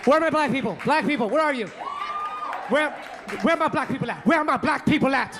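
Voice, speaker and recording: A man from Colombia doing a stand-up set on a comedy club stage, loud and exuberant as he works the crowd. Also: extremely high pitch, animated, fast talker, background noise.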